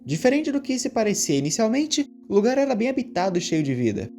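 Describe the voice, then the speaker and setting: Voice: mid-range voice, very animated, quick pace, dark tone. A narrator reading a scripted story in a storytelling style. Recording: music behind the voice.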